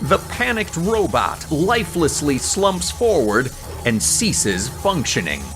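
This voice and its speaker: A man's voice: a little high, very animated, slow, over music. A man delivering scripted narration for an audio drama.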